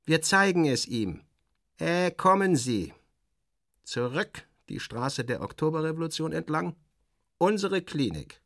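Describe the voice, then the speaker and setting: A man's voice: a little high, big pitch swings, measured pace, gravelly, a bit bright, no echo, clean studio sound, pitched above the performer's own voice, heavily acted. A man voicing a curt, officious Soviet official who gives emphatic orders in an audiobook satire. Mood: commanding. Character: brisk, commanding male Soviet official guiding a foreign visitor.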